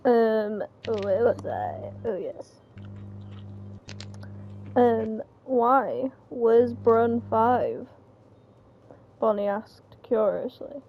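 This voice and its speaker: A woman reads a story aloud in an informal, halting way. Her voice sits fairly high with plenty of creak. The microphone is slightly muffled. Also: expressive. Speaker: reader of the narration. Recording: slightly muffled mic, faint background noise.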